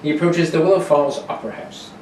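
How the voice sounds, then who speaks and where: A man's voice: fairly high pitch, steady tone, quick pace, a little mellow, slight background noise. A man narrating a radio serial in a dramatic storytelling style, read live. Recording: very echoey room, distant mic.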